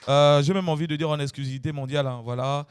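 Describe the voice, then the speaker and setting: A man's voice: on the high side, fairly even intonation, fast, clean tone. A man from Gabon hosting a talk show, emphatic and hyping the guest, asking the audience for applause.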